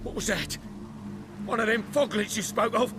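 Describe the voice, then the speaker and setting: An actor playing a nervous, cowardly thief who stammers and speaks in a jittery way in a fantasy video game. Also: male voice, high voice, normal speed, noisy background, natural voice. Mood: frightened.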